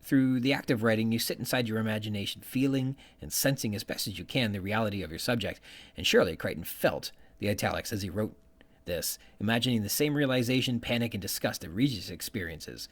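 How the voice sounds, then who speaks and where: A man's voice: on the low side, fairly quick. A man hosting a podcast, giving bookish literary commentary from prepared notes and quoting passages as he goes. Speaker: podcast host.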